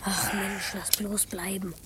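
A woman's voice: medium pitch, slow, a little hiss or hum. A woman voicing an eager, confiding twelve-year-old boy detective who narrates a children's radio play. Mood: whispered disapproval. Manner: confiding, excitable, whispered asides.

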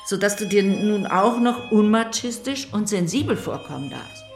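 An actress plays a woman in her late sixties, a frank and caring confidante in a radio play. She talks dryly and articulately with a sardonic edge. The voice is mid-pitched and a little roomy, with faint music behind. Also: expressive, moderate pace, a little mellow, natural voice. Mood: dryly mocking. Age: 60s. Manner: dry, blunt, articulate. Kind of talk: naturalistic radio drama acting.

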